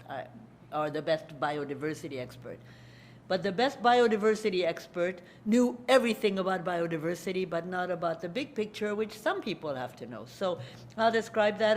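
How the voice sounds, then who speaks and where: An older woman whose first language is Hungarian, speaking in a low-pitched voice at a lecture podium. She talks in a conversational, personal way and addresses the children in the audience. A faint rumble sits under the podium microphone. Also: animated, normal speed. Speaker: guest lecturer. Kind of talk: public lecture.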